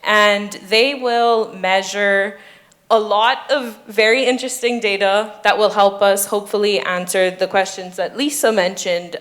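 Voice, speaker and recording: An earnest young woman from the Bahamas talks through her ocean research on a panel, steady and explanatory. Her pitch sits in a middle range, and she stops often with a soft um. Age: 20s. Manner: earnest, explanatory, frequent ums. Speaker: doctoral student. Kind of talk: panel discussion.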